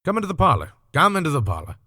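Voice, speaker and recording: A man voicing a sly old rag dealer, pitched up. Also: huge pitch swings, rapid-fire, pushed and bright, breathy edge, no echo, clean studio sound, full character voice. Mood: welcoming, chuckling. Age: older. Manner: garrulous, colloquial, sly old-fashioned speech. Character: shady old male rag-and-bone dealer, wheedling, grasping.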